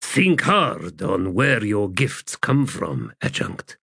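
A man's voice: fairly low pitch, huge pitch swings, slow pace, creaky, fairly bright tone, husky, no echo, studio quality, full character voice. A man voicing a stern female military leader with a clipped, formal manner, in an audiobook.

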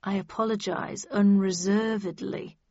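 A woman's voice: medium pitch, steady tone, a little slow, darkish tone, dry recording. Stony male underling for an audiobook female reader, terse, grudging, clenched teeth, dry and slightly dull recording. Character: grim, stony, grudging male subordinate official. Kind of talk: audiobook character acting.

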